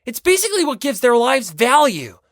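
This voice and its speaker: A reader voicing an agitated, insecure teenage boy in an audiobook, with forceful, accusing delivery. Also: high-pitched, sing-song, a little fast, no room sound, clean studio sound, pitched above the performer's own voice. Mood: agitated, bitter. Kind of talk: dramatic audiobook character reading.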